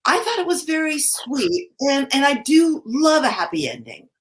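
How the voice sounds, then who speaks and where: A woman's voice: high-pitched, sing-song, moderate pace. A woman playing a sweet, distracted, sentimental committee member in an amateur play reading. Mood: apologetic, sweet.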